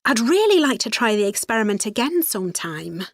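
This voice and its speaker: Female university student, scripted study discussion, matter-of-fact, highish voice, close dry sound. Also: very animated, fairly quick, dry recording. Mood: studious, matter-of-fact. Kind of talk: scripted educational dialogue.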